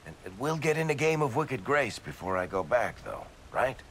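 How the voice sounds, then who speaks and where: An actor playing a teasing, offhand dwarf storyteller in a video game. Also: male voice, mid-range voice, big pitch swings, moderate pace, fairly bright tone, a little hiss or hum. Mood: wry. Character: wry, easygoing male dwarf storyteller.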